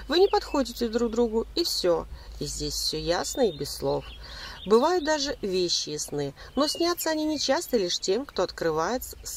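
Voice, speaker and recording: A woman reading a scripted spiritual self-help voice-over in a measured, explanatory manner with deliberate pauses. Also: mid-range voice, a little hiss or hum. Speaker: narrator giving spiritual self-help advice.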